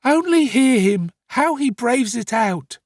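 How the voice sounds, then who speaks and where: A man's voice: very high-pitched, sing-song, slow pace, no echo, studio quality, voice pushed up, heavily acted. A man voicing a hysterical, scheming young woman who wails melodramatically in an audiobook story. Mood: indignant, triumphant. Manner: wailing, melodramatic exclamations.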